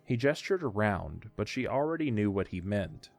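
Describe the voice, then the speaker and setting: A man's voice: on the low side, big pitch swings, moderate pace, gravelly, darkish tone, no echo, soft background music. A man narrating a scripted audio drama as its storyteller.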